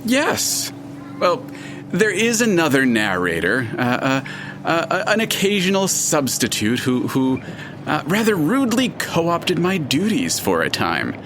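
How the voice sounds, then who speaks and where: Pompous omnipresent narrator spirit for audio drama: fussy, precise, highish male voice with wildly animated pitch. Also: unhurried, noisy background. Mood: haughty, prickly. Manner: pompous, precise, faintly hesitant, florid wording. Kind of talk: full-cast audio drama acting.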